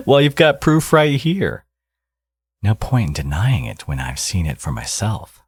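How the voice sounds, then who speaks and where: Casting: smug villain dad for audio roleplay, male actor, low-pitched, sardonic and teasing, with swooping, expressive delivery. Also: takes it slowly, long pauses, breathy edge, dry recording, heavily acted. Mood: defiant, smug. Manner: teasing, sardonic, drawling threats. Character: smug supervillain man, teasing ex-enemy and doting father. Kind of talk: ASMR audio roleplay, intimate acted monologue.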